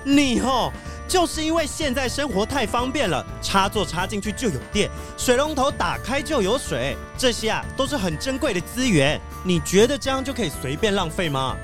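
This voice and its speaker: An earnest wolfdog police chief , acted by a woman, in a children's audio drama. The voice is highish and swings dramatically, emphatic and scolding, with clear music behind it. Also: very animated, normal speed. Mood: stern scolding.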